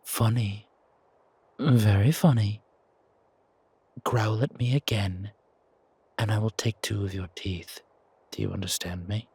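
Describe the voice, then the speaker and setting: Man playing an imperious, husky ancient deity. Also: on the low side, fairly even intonation, slow pace, pauses often, a little mellow, dry recording, full character voice. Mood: stern. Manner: commanding, condescending, teasing. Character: imperious ancient once-worshipped deity, scolding and protective. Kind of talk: scripted fantasy audio roleplay.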